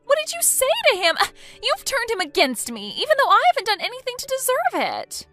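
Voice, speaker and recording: A woman putting on a shrill, self-absorbed young woman whose voice leaps high and wide in indignation. The tone is bright and piercing, with faint music underneath. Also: wildly swooping pitch, moderate pace, exaggerated voice. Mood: indignant. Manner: whiny, indignant, high-pitched outbursts.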